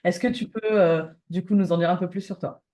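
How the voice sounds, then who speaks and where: A woman's voice: on the low side, steady tone, brisk, mellow. A woman hosting an online business challenge, introducing her guest in a chatty, enthusiastic way with many fillers. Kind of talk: casual interview introduction.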